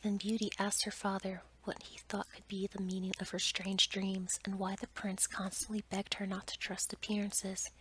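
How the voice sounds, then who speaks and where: A woman narrating a bedtime story as ASMR in a breathy whisper. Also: medium pitch, monotone, moderate pace, hardly any pauses, a bit bright, slight background noise, low-quality recording.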